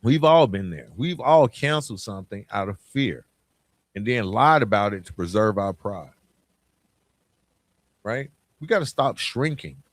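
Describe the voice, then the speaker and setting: A man's voice: lowish voice, expressive, measured pace, pauses often, dry recording. A middle-aged American man giving a motivational solo podcast talk, speaking colloquially and directly to listeners with emphatic repetition and rhetorical questions. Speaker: podcast host.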